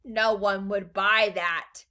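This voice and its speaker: A woman voicing an eccentric, excitable storybook gentleman who speaks with theatrical emphasis and breezy reassurance. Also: medium pitch, takes it slowly, smooth. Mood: amused, reassuring.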